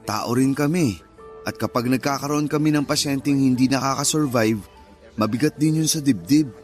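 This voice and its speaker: Guy acting as caring young filipino husband, radio drama, normal male pitch, patient, bit of music behind. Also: normal speed, lightly acted. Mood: earnest. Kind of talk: radio-style romance drama acting in dialogue. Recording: music under the voice.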